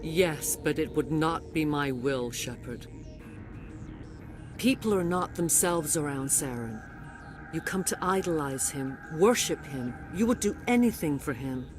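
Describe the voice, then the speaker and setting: A woman playing a regal middle-aged alien matriarch in a video game, speaking in a grave, formal, measured way. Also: deep voice, animated, over music. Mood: strained, urgent. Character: regal alien matriarch, a mother enthralled by a villain. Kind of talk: dramatic video game acting.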